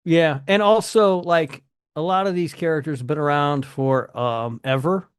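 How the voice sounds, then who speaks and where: A man with a slightly high-set voice talks casually as a podcast co-host. He is blunt and swears easily, and the recording is dry and close. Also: steady tone, moderate pace, clean tone, no room sound. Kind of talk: casual chat.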